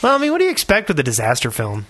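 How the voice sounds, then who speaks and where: Higher-pitched bright man, playful podcast banter. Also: wildly swooping pitch, racing, no room sound. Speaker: podcast co-host. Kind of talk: casual banter on a gaming podcast.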